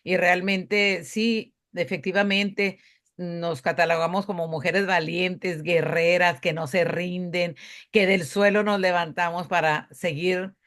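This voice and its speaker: A woman's voice: on the low side, steady tone, takes it slowly, a bit bright. A woman hosting a podcast interview, speaking with many fillers and restarts. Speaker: podcast host. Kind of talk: casual interview.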